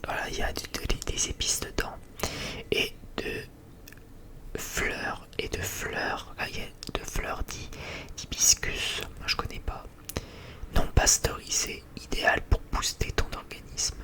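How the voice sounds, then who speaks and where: Whispering ASMR creator, rambling and hesitant. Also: a little slow. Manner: rambling, hesitant, often repeating words. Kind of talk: whispered ASMR casual chat.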